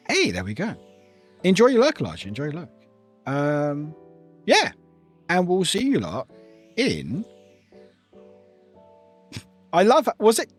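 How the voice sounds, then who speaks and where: A streamer talking loosely to chat, wandering between thoughts and laughing along the way. The voice rises and falls a great deal and sounds a little croaky. Faint music runs underneath. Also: male voice, a little high. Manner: rambling, chatty, laughs often. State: slightly croaky voice. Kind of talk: casual livestream chat.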